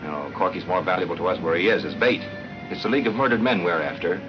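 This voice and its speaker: A tough, shrewd American detective, acted by a man, low-pitched and quick in delivery. It sounds like an old film serial with music underneath. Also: natural voice, poor audio. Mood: shrewd, decisive. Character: confident, shrewd police detective, tough and brisk. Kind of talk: 1940s film serial acting.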